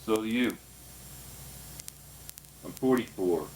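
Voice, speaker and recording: An actor playing an earnest man in his forties, coaxing and animated as he talks. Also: fairly low pitch, slow pace, slight background noise, low-quality recording. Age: 40s.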